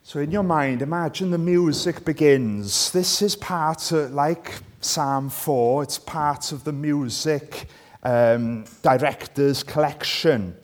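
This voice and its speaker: Slow-paced Welsh man preaching. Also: on the high side. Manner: conversational, asks listeners rhetorical tag questions. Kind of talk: sermon with scripture reading.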